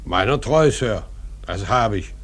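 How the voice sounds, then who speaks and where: A man's voice: lowish voice, lively intonation, measured pace, fairly bright tone. A man playing a plain-spoken ship's carpenter in a German radio drama, muttering and deferential but unbothered. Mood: stolid, muttering.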